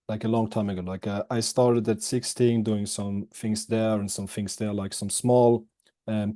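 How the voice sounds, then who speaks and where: A young man from Switzerland speaks in a low, mellow voice, recorded close and dry. His English comes out halting and loose, full of fillers and restarts as he answers questions in a relaxed interview. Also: no echo. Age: 20s. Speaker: guest, former student.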